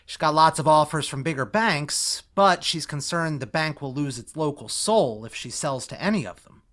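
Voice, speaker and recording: A man's voice: a little high, animated, moderate pace, a bit bright. A man playing an idealistic, noncommittal young man, casual and hedging, in a musical theatre read-through. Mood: explaining, sheepish. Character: Bobby, idealistic young man, evasive about commitment. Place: recorded remotely online.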